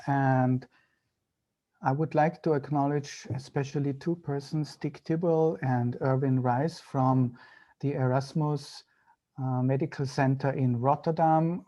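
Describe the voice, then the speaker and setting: A man with an Austrian accent, a native German speaker, giving an online medical lecture in halting, deliberate phrases. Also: a little high, dark tone. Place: video call.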